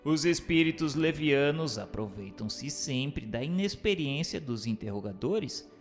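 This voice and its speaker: A man's voice: on the high side, lively intonation, unhurried. Male narrator, formal and declamatory, very varied melody, reverberant sound over a light music bed. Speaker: narrator reading questions and notes. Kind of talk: scripted narration of a spiritist book.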